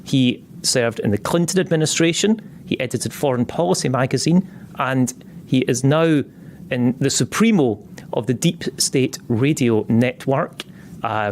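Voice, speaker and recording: A man's voice: medium pitch, darkish tone. A man with a Scottish accent hosting a podcast interview, chatty and joking, with some repeated false starts. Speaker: podcast host.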